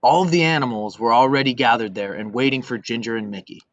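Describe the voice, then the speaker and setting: A young man reading a children's story aloud in an expressive, scripted way. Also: medium pitch, fast talker, no room sound. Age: young adult. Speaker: volunteer storybook reader. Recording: dull lo-fi recording.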